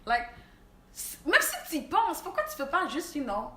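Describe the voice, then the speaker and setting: A woman's voice: high-pitched, wildly swooping pitch, moderate pace, fairly bright tone. A young woman with a Quebec French accent co-hosting a casual chat, switching freely between French and English slang. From Montreal. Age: young adult. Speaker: co-host. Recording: echoey room with faint ambience.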